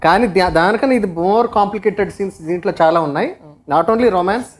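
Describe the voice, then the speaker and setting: A woman with a medium-pitched voice speaks in a casual film interview. She explains her views earnestly, as a member of the team. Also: a little slow, a little mellow.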